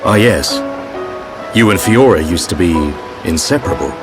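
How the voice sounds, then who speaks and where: Game role: protective warrior, low-pitched, reflective, with very expressive intonation. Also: male voice, over music. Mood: warm, confiding. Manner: measured, reflective. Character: earnest older-brother warrior, calm and protective. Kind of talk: video game cutscene acting.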